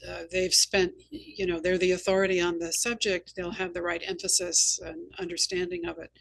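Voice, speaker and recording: Woman podcast host, lowish voice w lots of fry, articulate, measured. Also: flat delivery, slight background noise. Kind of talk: hosting a casual conversation.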